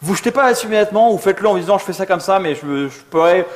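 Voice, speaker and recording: Male conference speaker, rapid and a little high-pitched, chatty and slangy while fielding questions. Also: expressive, slight background noise. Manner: casual, slangy, self-deprecating. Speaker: conference speaker, software developer. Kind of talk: informal conference Q&A.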